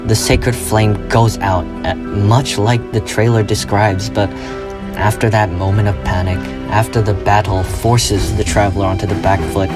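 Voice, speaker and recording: A man's voice: on the low side, flat delivery, normal speed. A man presenting a fan theory as a scripted video essay, with dramatic storytelling phrasing. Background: loud music.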